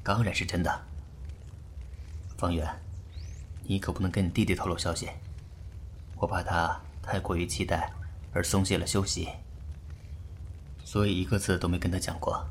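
An actor playing a calm, authoritative clan elder uncle who speaks in a measured, commanding way in a fan audio drama. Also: male voice, sits low, a little hiss or hum, lightly acted. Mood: stern.

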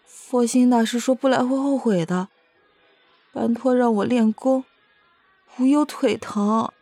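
A woman plays a naive, devoted young girl of a martial sect in a period audio drama. Her voice is high, and she pleads artlessly, referring to herself by name like a child. Music plays behind her. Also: a little slow, dark tone, no echo. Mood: pleading. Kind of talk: Chinese costume audio drama acting.

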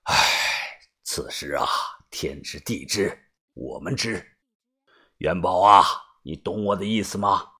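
A narrator voicing a grave, cautious older gang boss in a measured, secretive audiobook manner, hushed and breathy. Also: deep voice, lively intonation, pitched below the performer's own voice, heavily acted. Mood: conspiratorial.

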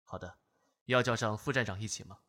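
A man's voice: on the low side, fairly quick, bright, forceful tone. A man playing a deferential secret-service subordinate in a Chinese audio drama, reporting respectfully. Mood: dutiful. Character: dutiful, deferential male intelligence subordinate. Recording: music clearly behind the voice.

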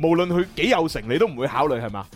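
A male radio host chats casually and teasingly. His voice sits on the high side and he talks quickly, in an animated way, with some music behind him.

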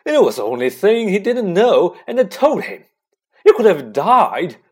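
A reader puts on a huge, soft-hearted storybook man. He is blunt and blustery, wears his feelings openly, and his pitch lurches about widely. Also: sing-song, a little slow, breathy edge, full character voice. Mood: sobbing, remorseful. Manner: loud, blustery, emotional delivery.

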